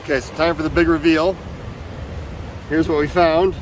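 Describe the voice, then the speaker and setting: A man's voice: on the high side, level tone, normal speed. A man filming a casual outdoor vlog by the water, teasing and joking as he goes. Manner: teasing, playful, sings silly tunes. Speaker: vlogger. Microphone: handheld camera. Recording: noisy outdoor wind.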